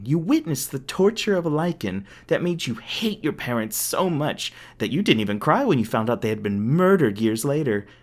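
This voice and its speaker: A man's voice: medium pitch, natural voice. A man playing a smug, sadistic corporate villain in an audio roleplay, taunting with sarcastic, mock-polite manners. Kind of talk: ASMR audio roleplay acting.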